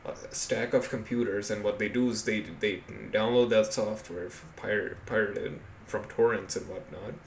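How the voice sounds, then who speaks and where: A man's voice: on the low side, steady tone, normal speed, few pauses. A man from Pakistan co-hosting a casual podcast, explaining things in a blunt way with plenty of swearing. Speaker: podcast co-host. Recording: echoey room with background noise.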